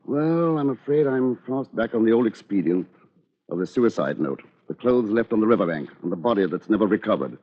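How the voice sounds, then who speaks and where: An actor playing a scheming, precise lawyer in an old radio drama, with coolly confiding delivery. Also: male voice, lowish voice, animated, brisk, slightly rough. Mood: brisk, calculating. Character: scheming, coolly calculating male lawyer narrating his crime. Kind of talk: 1940s radio drama acting, first-person narration. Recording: dull, lo-fi old radio recording.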